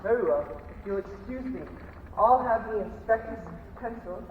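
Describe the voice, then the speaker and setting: A man's voice: sits high, expressive, moderate pace, slight background noise. A young man acting a pompous, protective, blustering brother in a declamatory stage farce style. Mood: huffy. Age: teenager playing an adult. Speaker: high school student actor. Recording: muffled, echoey hall rehearsal from far off.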